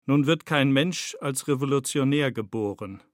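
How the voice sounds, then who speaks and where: A man narrating a scripted radio essay in a measured, matter-of-fact way. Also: mid-range voice, no echo, clean studio sound.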